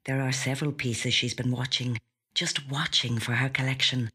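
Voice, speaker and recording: Female narrator for literary fiction: low register, husky edge, measured but expressive dramatic delivery. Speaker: professional actor reading short stories. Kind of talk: literary short story narration. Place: recording studio.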